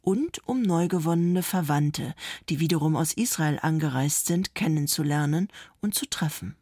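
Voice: mid-range voice, lively intonation, normal speed, a little mellow, no room sound, studio quality. A narrator reading a scripted documentary report.

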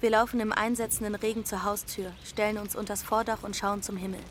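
A woman's voice: medium pitch, flat delivery, fast, slight background noise, lightly acted. A woman playing a young runaway girl who narrates in a plain, observant way in a radio drama. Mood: matter-of-fact. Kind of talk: scripted first-person narration.

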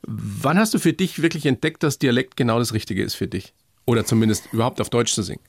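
A man's voice: mid-range voice, quick pace, slightly rough. A man interviewing a guest in a casual, familiar, conversational way.

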